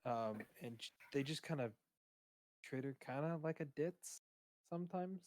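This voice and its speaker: A man with a booming voice chatting casually on a stream, rambling and hesitant, with many fillers and restarts. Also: a little slow, darkish tone, slight background noise. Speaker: streamer discussing his game character. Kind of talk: casual chat.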